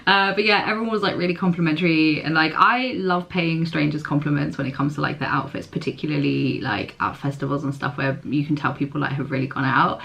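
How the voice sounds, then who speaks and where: Husky low-voiced woman vlogger. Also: steady tone, fairly quick, hardly any pauses, fairly bright tone. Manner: chatty, lots of "like" and "um" fillers. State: hoarse, recovering voice. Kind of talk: casual vlog chat.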